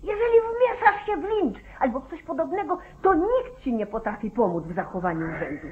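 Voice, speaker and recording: An actress playing a bossy, nagging, shrewd sheriff's wife in a 1940s radio drama. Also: female voice, high voice, very animated, fairly quick. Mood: insistent. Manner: commanding, insistent. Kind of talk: 1940s Polish radio play acting. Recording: tinny, band-limited old 1949 radio recording.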